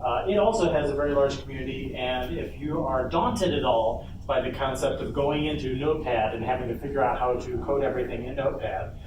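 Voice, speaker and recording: A man's voice: medium pitch, fairly quick, hardly any pauses, recorded from a distance. A man giving an informal workshop presentation, explaining things step by step with some hesitation. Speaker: workshop panelist. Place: convention panel room. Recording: echoey room from afar, noisy.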